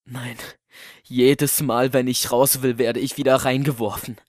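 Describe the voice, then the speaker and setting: A man playing a lonely, apologetic man trapped at home in a horror audiobook, halting and resigned. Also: medium pitch, level tone, no room sound, studio quality, natural voice.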